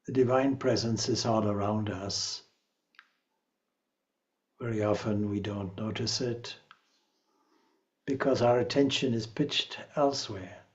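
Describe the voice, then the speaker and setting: Man, meditation teacher, low pitch, calm measured delivery, long pauses, slightly muffled recording. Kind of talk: guided meditation.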